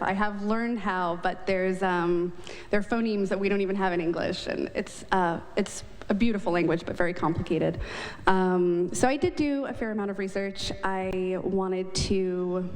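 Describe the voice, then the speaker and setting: A woman author answering on a live panel, hesitant, with many pauses and ums and some laughs. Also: medium pitch. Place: event hall. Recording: reverberant hall.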